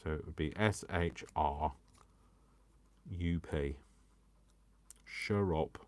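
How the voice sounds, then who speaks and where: A man from Coventry with a Coventry English accent softened by years in Yorkshire, chatting and teasing himself as he demonstrates crafts live. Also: very deep voice, takes it slowly. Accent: Coventry English, worn by years in Yorkshire. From England. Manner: chatty and self-mocking, talks to himself and the viewers. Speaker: crafting livestreamer.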